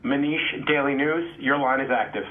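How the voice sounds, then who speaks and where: Male call moderator, brief formal announcements, mid pitch, thin telephone audio. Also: moderate pace. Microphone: phone conference line. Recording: narrow, tinny telephone line.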